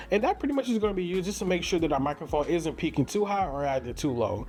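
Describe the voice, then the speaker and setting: A man's voice: a little high, a little mellow. A man with a St. Louis American accent giving a casual, enthusiastic product review in slangy, conversational language. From St. Louis, Missouri, United States. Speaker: tech reviewer and content creator.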